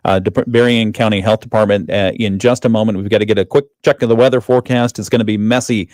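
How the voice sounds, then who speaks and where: Low-voiced male radio host, dry sound. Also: fairly even intonation, a little mellow, dry recording. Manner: informal, halting, many restarts. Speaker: radio morning show host. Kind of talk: radio talk interview. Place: radio studio. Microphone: studio microphone.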